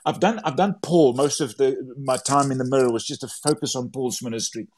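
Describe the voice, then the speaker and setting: A man's voice: medium pitch, brisk, darkish tone, slight background noise. A man whose first language is Afrikaans, speaking English with an Afrikaans accent, talking as a guest about his books in a casual interview. He talks eagerly, tripping over words and restarting often. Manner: enthusiastic, many stumbling repeats and restarts. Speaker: author and Bible translator.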